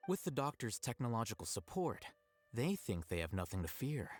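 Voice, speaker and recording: An actor playing a composed, calculating young scholar in a video game, explaining things in a measured, cool way. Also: male voice, mid-range voice, sing-song, faint music behind. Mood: cool, confident.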